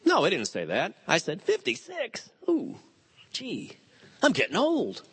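A man in his fifties preaching a sermon, animated and telling stories with quoted voices. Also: high-pitched, measured pace, husky. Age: 50s. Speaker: pastor.

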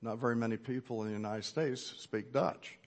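Man preaching an earnest, measured sermon. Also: medium pitch. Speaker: pastor.

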